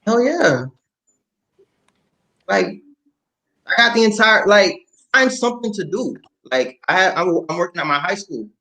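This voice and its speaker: A man's voice: high voice, lively intonation, measured pace, long pauses, bright, forceful tone, poor audio. A man talking casually in a group podcast, blunt and slangy with laid-back joking. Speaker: podcast panelist.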